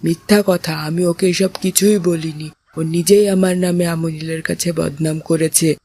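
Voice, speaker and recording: A defiant, aggrieved cartoon boy, played by a voice actor, husky and mid-pitched. He is reproachful and confrontational, in the manner of a children's moral story. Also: steady tone, moderate pace, a little mellow. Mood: indignant. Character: aggrieved, defiant boy who stands up to accusers. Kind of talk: Islamic moral cartoon voice acting.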